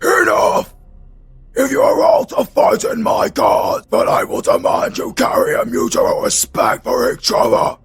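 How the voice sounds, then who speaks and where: A man playing a proud yet servile goblin king with a gravelly rasp and grandiose, theatrical delivery in a fantasy audio drama. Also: extremely high pitch, huge pitch swings, unhurried, heavily acted. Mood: angry, barking. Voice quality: gravelly, rasping. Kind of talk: fantasy audio roleplay voice acting.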